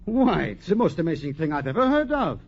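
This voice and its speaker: A muffled 1940s radio recording of an eager young adventurer. His voice sits slightly high and leaps dramatically in pitch, earnest and excitable throughout. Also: a little fast. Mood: excited. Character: eager, daring young male balloon enthusiast.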